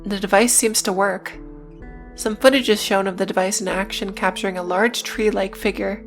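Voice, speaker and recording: A woman's voice: medium pitch, steady tone, moderate pace. A woman narrating a scripted video essay in a matter-of-fact way, with casual, dryly irreverent asides. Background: music.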